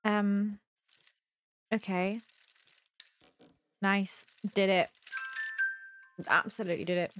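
Slow-paced young woman, playful gaming chatter. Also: medium pitch, fairly even intonation, faint music behind. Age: young adult. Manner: playful, self-deprecating, muttering to herself. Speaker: gaming video host. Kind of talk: casual let's-play commentary, thinking aloud.

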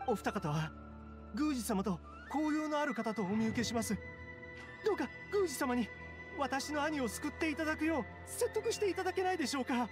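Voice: high voice, expressive, fairly quick, over music. An actor voicing an earnest, anxious townsman, a younger brother who pleads formally and very politely, in a Japanese anime game. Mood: pleading.

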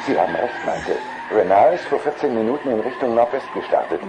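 A man's voice: medium pitch, animated, fast, vocal fry, background noise. A man voicing a loyal, concerned talking computer car with precise, formal manners in a German radio play.

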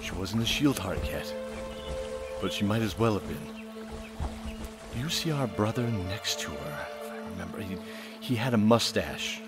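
Man playing a soft-spoken, low-voiced former paladin. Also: lively intonation, moderate pace, over loud music, close to an everyday voice. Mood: patient encouragement. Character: bearded former paladin, tender, patient, encouraging man. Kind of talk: audio drama acting.

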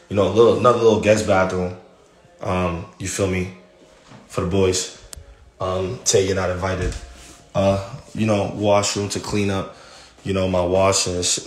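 A young man livestreaming as he walks through an empty house, talking casually in slang with lots of swearing and hype, into a phone held at arm's length. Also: fairly low pitch. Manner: excitable, slangy, swears a lot, hypes things up. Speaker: livestreamer giving a house tour. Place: large empty house indoors, walking between rooms.

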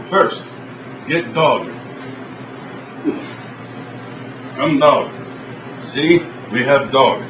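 Man acting as a deadpan foreign host, broken English, slightly high voice, background noise. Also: natural voice. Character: blunt deadpan foreign man giving brutal how-to lessons. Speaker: comedy sketch character host. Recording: roomy echo, distant mic, ambient noise.

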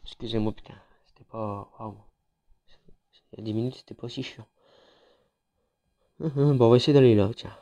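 A congested gamer with a French accent and a mellow, dark-toned voice talks his way through a mobile shooter match. His delivery is chatty and loose, with frequent fillers and restarts, the voice thick from a cold. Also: medium pitch, normal speed, pauses often, lo-fi sound. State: has a head cold, congested. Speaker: gaming YouTuber. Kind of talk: casual mobile game commentary.

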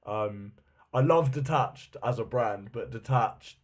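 A man's voice: medium pitch, slow. A young man hosting a casual interview over a video call from his bedroom, laid-back and slangy. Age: young adult. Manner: laid-back, slangy, says "you know what I mean". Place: bedroom indoors. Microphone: webcam video call.

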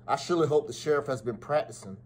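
A man voicing an excitable, folksy town barber from a Wild West storybook. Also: mid-range voice, fast, slight background noise, lightly acted. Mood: anxious.

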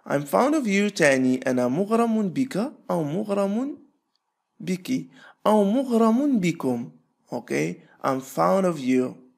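A man leads an English drill in a somewhat high voice. He stretches each phrase out word by word, then speeds through quick explanations. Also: big pitch swings, vocal fry. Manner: repeats phrases slowly, stretching words apart; explains rapidly between drills. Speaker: English teacher. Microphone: voice-over.

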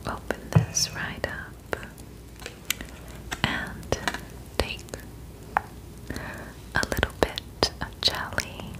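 Young female ASMR performer, soft breathy whisper close on the mic, very unhurried, soothing friendly-witch roleplay. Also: poor audio. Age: young adult. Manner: slow, gentle, soothing delivery as a friendly witch.

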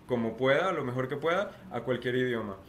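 Venezuelan man, halting casual podcast chat. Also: mid-range voice, animated, a little hiss or hum. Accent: Venezuelan Spanish. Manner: halting, many fillers. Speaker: podcast co-host. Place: small home room, indoors.

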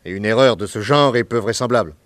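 An actor in a French radio drama playing a calm, coolly ironic male detective questioning a suspect. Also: fairly low pitch, quick pace, no room sound, natural voice. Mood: probing.

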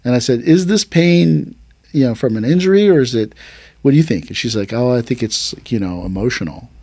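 Casual male podcast host, mid pitch, rambling anecdotal delivery, dry close sound. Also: animated, brisk, mellow, husky, dry recording. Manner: loose storytelling with many fillers, acts out quotes. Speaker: aikido teacher and podcast host.

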